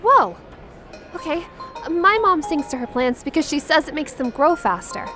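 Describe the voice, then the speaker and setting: A woman's voice: sits high, sing-song, normal speed, sharp tone, soft background music, full character voice. An actress playing a friendly, curious teenage schoolgirl in a comic dub, inquisitive and a little hesitant. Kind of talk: acted comic dub dialogue.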